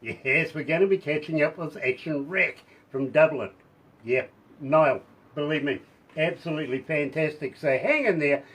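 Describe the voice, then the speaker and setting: A man with a husky, somewhat hoarse voice hosts a radio interview, pitched a touch high. He gushes and chats warmly with his guest, laughing between lines. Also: normal speed, poor audio. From New Zealand. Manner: effusive, chatty, laughs often. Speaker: radio host.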